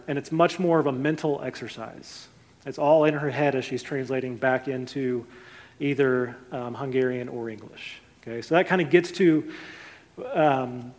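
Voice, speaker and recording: A man giving a church class lecture in a slightly higher register. He talks through his points in a steady, explanatory way, often saying "okay" and "um". His voice rings out with a long reverb. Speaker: teacher.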